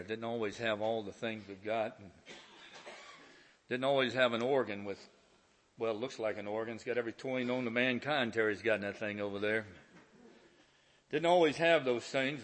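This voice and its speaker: A man leading a church service, introducing the preacher and reminiscing in a folksy, warm way about old hymns. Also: medium pitch. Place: church sanctuary. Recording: church recording with background noise.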